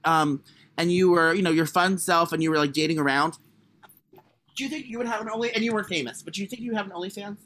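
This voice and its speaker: A man with a high voice co-hosting a casual comedy podcast, crude and self-deprecating in his humour. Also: fast, bright, forceful tone. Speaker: podcast co-host. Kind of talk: casual comic chat.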